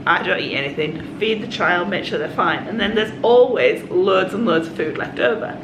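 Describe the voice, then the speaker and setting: A woman, croaky from a cold, chatting in a confiding way to her vlog audience. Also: on the low side. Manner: chatty and confiding, with quick asides. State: hoarse from a cold. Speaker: vlogger. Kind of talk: casual vlog chat. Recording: echoey room with background noise.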